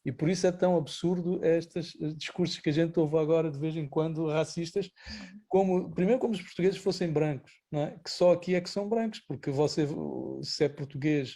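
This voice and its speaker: A man's voice: fairly high pitch, dark tone. A man, a guest writer, talking reflectively in a conversation and often checking in with short tag questions. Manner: thoughtful, tags points with "não é?".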